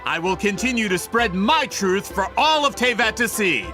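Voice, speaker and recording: An actor playing a smug, greedy businessman villain in a video game, with theatrical, drawn-out gloating. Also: sits high, animated, music in the background.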